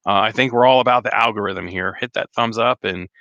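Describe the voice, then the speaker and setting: A slightly hoarse man chatting casually on a live stream, rambling with many fillers and restarts. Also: lowish voice, brisk, sharp tone, dry recording, dull audio. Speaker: RV vlogger hosting a live chat.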